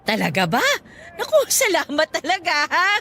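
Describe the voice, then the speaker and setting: High-pitched, fussing Filipino grandmother. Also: wildly swooping pitch, normal speed, a bit bright, full character voice. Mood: touched. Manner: fussing, exclaiming, chuckling. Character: warm, teary, forgetful elderly grandmother who runs a store. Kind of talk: Filipino radio drama acting.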